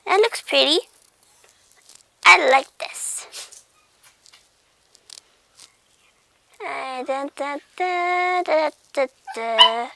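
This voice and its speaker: A woman's voice: sits high, slow, creaky, pushed and bright, no room sound, heavily acted. A woman voicing a childish, moody little house cat, whining and repeating itself as it narrates its thoughts in a comic pet voice-over. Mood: pleased. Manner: whiny, repetitive, babyish self-narration with meows.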